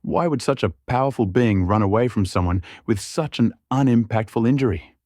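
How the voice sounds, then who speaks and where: A man's voice: mid-range voice, a little fast, a little mellow, no room sound, studio quality, natural voice. A man voicing a tall, crooked, shrewd man in a fantasy story, guarded, probing and serious. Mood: suspicious.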